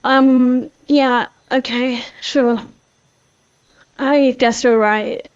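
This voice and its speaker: A young woman playing an apologetic teenage girl in an amateur voiced game series, talking in a halting, earnest ramble. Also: high voice, steady tone, clean tone, no echo. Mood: guilty, pleading. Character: guilty, apologetic teenage girl, former best friend. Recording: dull lo-fi voice track.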